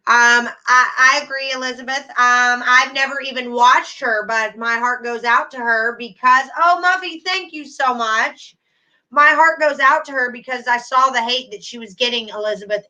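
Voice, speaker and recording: A woman's voice: on the high side, steady tone, measured pace, pushed and bright, poor audio. A middle-aged woman hosting a casual livestream, chatting to viewers by name with endearments. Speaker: YouTube livestream host.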